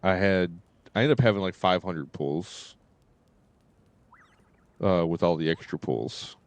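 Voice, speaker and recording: A man streaming a game and chatting casually, halting and often repeating himself. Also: low-pitched, no echo. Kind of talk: casual live stream chat.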